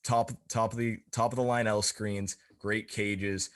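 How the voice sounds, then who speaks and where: Guy with a really low voice just rambling casually as a podcast cohost. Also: flat delivery, measured pace, a bit bright. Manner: informal, rambling. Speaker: podcast co-host.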